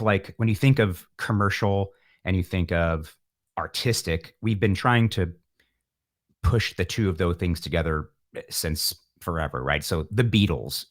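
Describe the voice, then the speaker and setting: Man, low pitch, casual interview guest, animated, emphatic, playful. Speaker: podcast guest, musician.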